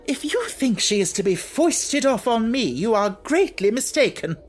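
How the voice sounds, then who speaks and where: A man's voice: very high-pitched, big pitch swings, moderate pace, voice pushed up, exaggerated voice. A man voicing a harsh, imperious, indignant middle-aged headmistress in an audiobook story. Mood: furious.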